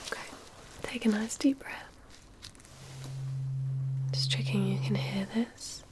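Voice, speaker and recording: A woman whispers softly and breathily in an ASMR medical exam roleplay, giving gentle, reassuring instructions as a doctor. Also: medium pitch, fairly even intonation, slow pace. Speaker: ASMR performer role-playing a doctor giving a hearing test.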